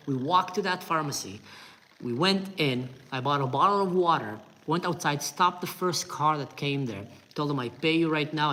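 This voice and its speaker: A middle-aged man with an Israeli accent, telling a story from his own life in a lively casual way and acting out the voices he quotes. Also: fairly high pitch, low-quality recording. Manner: animated, acts out quoted voices, tense retelling. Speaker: storyteller host.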